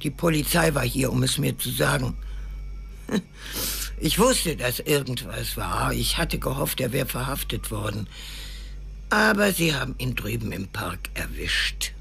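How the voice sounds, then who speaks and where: A woman's voice: a bass voice, lively intonation, unhurried, creaky, fairly bright tone, exaggerated voice. An actress in an audio drama playing a bitter, proud old grandmother, weary and sardonic. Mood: weary, guarded. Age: older. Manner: sardonic, probing. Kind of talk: German audio drama acting.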